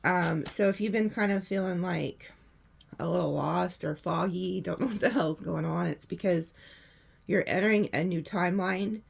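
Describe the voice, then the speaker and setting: Woman, hoarse, raspy, casual tarot reading, chatty. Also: fairly low pitch, moderate pace. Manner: chatty, laughing often, swearing casually. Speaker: tarot reader.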